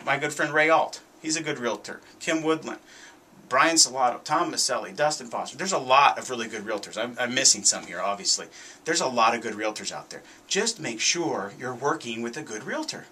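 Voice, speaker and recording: A man's voice: medium pitch, expressive, a little fast, sharp tone, a little hiss or hum. A man hosting a talk radio show, giving commentary in an emphatic, conversational way and often repeating his points. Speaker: radio show host, realtor.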